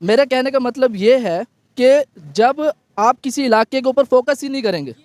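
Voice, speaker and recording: Earnest high-voiced male guest. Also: normal speed, a little mellow, dry recording. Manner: earnest, repeats "theek hai".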